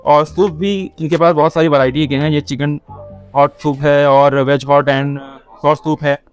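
A man's voice: fairly high pitch, little pitch movement, normal speed, clean tone, faint music behind. A man in his thirties hosting a casual food vlog, talking chattily with fillers, recorded on a lapel mic. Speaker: food vlogger host. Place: busy street at night, then restaurant indoors. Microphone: clip-on lapel mic.

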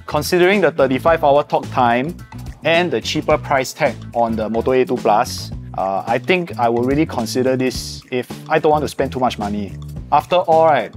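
A man in his thirties with a Singaporean accent, co-hosting a casual product review. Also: mid-range voice. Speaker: co-host and product tester.

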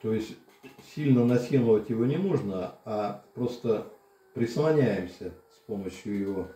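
An older man casually demonstrating a massager at home, explaining plainly with pauses and fillers. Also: on the low side, expressive, warm tone. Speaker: channel host reviewing a massager. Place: home room, indoors. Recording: echoey room.

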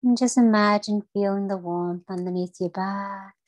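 A woman leading a guided breathing meditation for children, speaking in a gentle, soothing and encouraging way. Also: medium pitch, steady tone, normal speed, dry recording.

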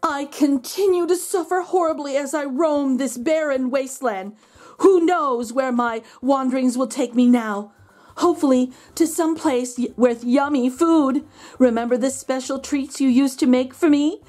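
A woman's voice: sits high, unhurried, darkish tone. A woman voicing a self-pitying, melodramatic dog from a storybook, pleading and stretching out words theatrically. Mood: forlorn. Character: melodramatic, self-pitying male dog writing letters home.

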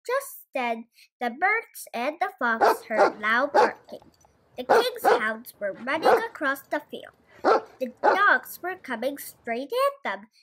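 A young female storyteller reads a children's tale. The voice is high and very lively in its melody, with expressive delivery throughout. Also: slow. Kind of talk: children's bedtime story reading.

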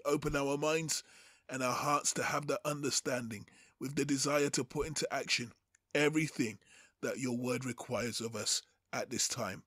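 Guy doing bible teaching, slightly high voice, takes his time, formal wording. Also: fairly bright tone, no room sound, studio quality. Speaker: Bible teacher, host of the series. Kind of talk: Bible teaching over slides, with prayer.

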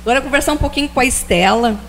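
Middle-aged host, brightish higher voice, friendly chatter, faint hum. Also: female voice, very fast, creaky. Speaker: TV show host. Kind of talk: casual interview questions. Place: TV studio set styled as rustic ranch. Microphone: handheld mic.

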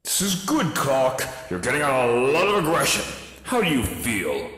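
A man's voice: mid-range voice, sing-song, normal speed, heavy background noise, close to an everyday voice. A man voicing a big, cheerful, oblivious superhero in a comic-book dub, with a grandiose, dramatic delivery. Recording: echoey with long reverb.

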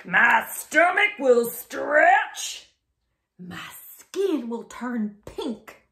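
A woman voicing a dramatic young crocodile who loves watermelon and panics easily, exclaiming in drawn-out words for a children's storybook. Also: high voice, sing-song, pushed and bright, full character voice. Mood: panicked. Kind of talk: children's storybook read-aloud.

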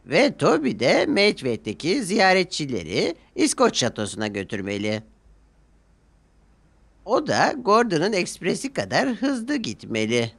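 A man voicing a prim, bossy lady who gives out orders slowly and carefully in a cartoon. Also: high voice, huge pitch swings, gravelly, fairly bright tone, pitched above the performer's own voice, exaggerated voice. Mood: instructing. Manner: slow, precise instructions. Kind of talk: cartoon dubbing.

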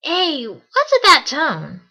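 A brash tomboy pegasus voiced by a woman, cocky and loyal, with a bright, highish voice that swings around a lot. She talks casually and boldly, as in a cartoon-style audio play. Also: huge pitch swings, slow, heavily acted. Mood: defensive, bold. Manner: casual, bold. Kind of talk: amateur audio drama acting.

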